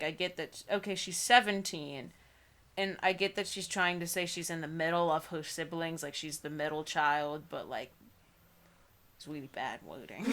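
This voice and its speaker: A woman's voice: mid-range voice, a bit bright. A young woman reads aloud in a casual, mocking way, with a mid-range voice. She is giggly and slips easily from the text into her own offhand commentary. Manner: giggly, breaks off reading to comment. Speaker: co-host reading books aloud.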